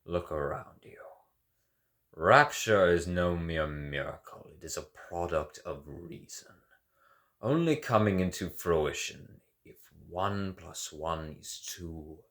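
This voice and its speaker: Brief: a proud, lecturing founder, played by a man, with a firm, low, slow delivery. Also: fairly bright tone, full character voice. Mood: stern. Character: stern, proud male founder lecturing on reason.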